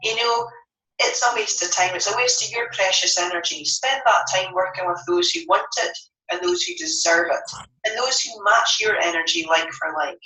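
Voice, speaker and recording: Stuffy-voiced woman, bright and emphatic. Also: lowish voice, animated, moderate pace, recorded from a distance. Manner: upbeat, emphatic, coaching. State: stuffy from a head cold. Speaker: network marketing distributor. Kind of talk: motivational training talk. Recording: roomy echo, voice set back from the mic.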